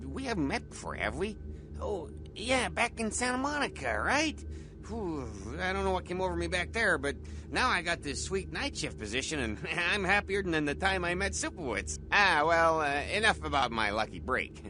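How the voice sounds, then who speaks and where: An actor playing a chummy, dim, eager night-shift security guard in a comic video game, bumbling and over-friendly. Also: male voice, high-pitched, sing-song, normal speed, background noise. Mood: chummy, curious.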